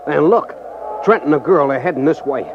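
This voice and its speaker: A man's voice: fairly high pitch, fairly quick, lightly acted. An actor playing a tough, suspicious, loyal henchman with uneducated gangster slang in a 1950s radio drama. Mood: wary. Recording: muffled, noisy 1950s radio recording.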